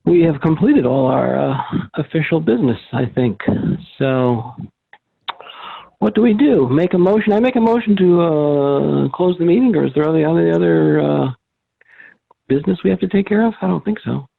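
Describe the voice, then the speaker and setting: A man with a gravelly, creaky voice comes through thin, phone-like audio. He chairs an informal meeting, speaking haltingly with a dry touch. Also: fairly high pitch, lively intonation. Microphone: video or phone call.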